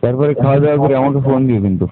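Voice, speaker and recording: A man's voice: medium pitch, rapid-fire. A man chatting casually on a private phone call, speaking in hesitant short questions with murmured fillers. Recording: tinny phone line with noise.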